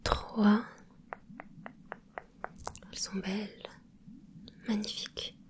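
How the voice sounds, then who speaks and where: A woman voicing a gentle, caring mermaid in an ASMR roleplay, whispering softly and reassuringly with a curious, playful touch. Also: mid-range voice, little pitch movement. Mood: soothing whisper. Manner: soft whispering, slow and soothing. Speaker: ASMR creator.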